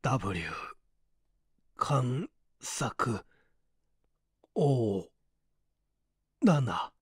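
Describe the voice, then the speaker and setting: A man voicing a gravely wounded man, terse and wry, muttering through pain in an audiobook story. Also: big pitch swings, drawn-out words, dry recording, studio quality, exaggerated voice. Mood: fading mutter. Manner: strained, terse, low muttering. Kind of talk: Japanese audiobook character acting.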